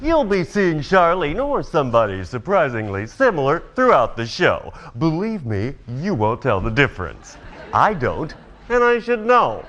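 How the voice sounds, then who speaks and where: An actor playing a smug, cynical, wisecracking host of a brutal futuristic game show in a video game. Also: male voice, on the high side, huge pitch swings, moderate pace, a bit bright, muffled recording, a little hiss or hum. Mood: smug, sarcastic. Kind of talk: over-the-top TV game show presenting.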